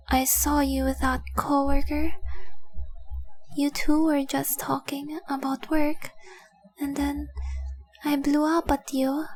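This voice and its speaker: A woman playing a devoted, remorseful young dragon-blooded fiancée in an intimate romantic audio roleplay, earnest and tender with a breathy, near-whispered voice. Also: high-pitched, darkish tone, rough recording. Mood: ashamed, remorseful. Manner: slow, earnest, tender confessing.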